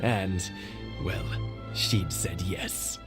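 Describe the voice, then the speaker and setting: Sentimental old husband, music behind. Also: male voice, low-pitched, drawn-out words. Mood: tender reminiscing. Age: older, 70s. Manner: hesitant, reminiscing. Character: sentimental old village husband, playful, long married. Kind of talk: video game voice acting. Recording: loud game music behind.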